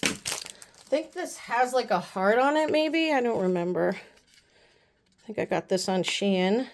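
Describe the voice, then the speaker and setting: A woman's voice: a little high, slow pace, slight background noise. A woman vlogger, sick with the flu, chatting casually and rambling with lots of fillers while unboxing a haul. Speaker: beauty vlogger.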